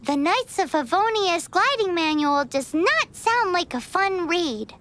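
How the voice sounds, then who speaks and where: Tiny cheeky companion creature, very high shrill voice, unhurried delivery, game music behind. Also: female voice, lively intonation, a bit bright, slight background noise, full character voice. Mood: grumbling. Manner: talks about herself in third person, quick to complain. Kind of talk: game character dialogue.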